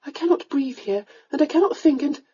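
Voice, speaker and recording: A woman's voice: high voice, lively intonation, fairly quick, dark tone, highs cut off, voice pushed up. A woman voicing a reluctant young noblewoman answering her mother in a subdued, patient way. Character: tall, reluctant young noblewoman, weary daughter.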